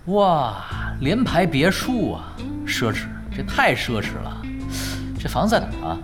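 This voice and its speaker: An easygoing young pro gamer in an audio drama. His delivery is nonchalant and dryly teasing, with very animated intonation, over clearly audible music. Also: on the high side, measured pace. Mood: relaxed. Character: laid-back, wry young male pro gamer. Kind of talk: Chinese radio drama acting.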